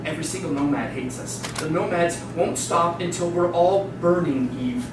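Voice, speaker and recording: An actor in a dramatic stage reading playing a frightened, defeated oil worker, insistent and emphatic, repeating himself. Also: male voice, high-pitched, normal speed, lightly acted. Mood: fearful, resolute. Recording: very echoey noisy hall, distant microphone.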